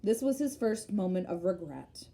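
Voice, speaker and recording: Mellow woman reading a storybook aloud. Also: mid-range voice, sing-song, normal speed. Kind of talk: expressive storybook narration.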